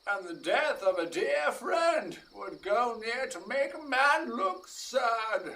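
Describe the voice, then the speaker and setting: Man playing a high-voiced, teasing Athenian duke. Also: animated, slow pace, creaky, sharp tone, far from the mic, full character voice. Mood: amused. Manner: teasing, theatrical, witty. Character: jovial Athenian duke mocking an amateur play. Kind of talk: Shakespearean stage acting.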